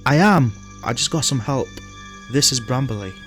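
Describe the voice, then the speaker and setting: A man's voice: mid-range voice, lively intonation, normal speed, music in the background, close to an everyday voice. A man playing a polite, earnest younger police detective in an audio drama, with a casual, questioning manner. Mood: startled, defensive.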